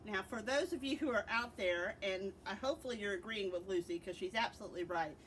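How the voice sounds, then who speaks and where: A woman's voice: mid-range voice, a little fast, a bit bright, slight background noise, low-quality recording. A middle-aged American woman, a librarian host, gives informal book recommendations in an explanatory way, stressing key words.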